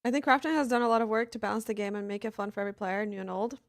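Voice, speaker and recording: A woman's voice: mid-range voice, racing, no echo, clean studio sound. A young woman from Finland, chatting casually with her live-stream chat while she plays games. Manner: chatty, answers chat offhandedly.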